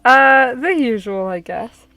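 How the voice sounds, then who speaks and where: A woman's voice: a little high, slow, sharp tone, no room sound, soft background music. A woman voicing a reluctant, defensive young woman, the heroine of a visual novel. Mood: awkward.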